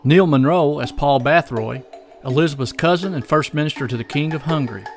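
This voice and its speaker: A man's voice: fairly high pitch, brisk. Male podcast host over faint music. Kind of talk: scripted podcast introduction and ad read.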